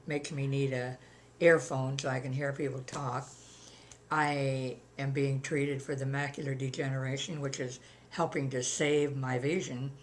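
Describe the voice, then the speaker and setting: Older woman, gravelly voice, plain steady talk, interviewee, faint noise. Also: very deep voice. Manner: plainspoken, steady, with many ums. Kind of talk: casual reminiscing chat.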